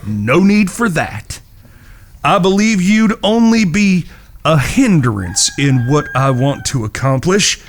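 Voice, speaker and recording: A man's voice: a little high, big pitch swings, takes it slowly, slight background noise, heavily acted. A man playing an irritable, foul-mouthed American cowboy brother in a Western audio drama, with folksy frontier talk. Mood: firm, impatient.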